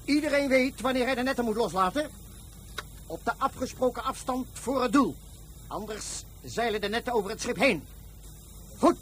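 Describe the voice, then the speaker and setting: A resolute fleet leader in a science fiction radio drama. The voice is very high for a man, delivering exacting, clipped commands over a faint background hiss. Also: expressive, normal speed, long pauses, a bit bright. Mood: tense, controlled. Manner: clipped, authoritative orders.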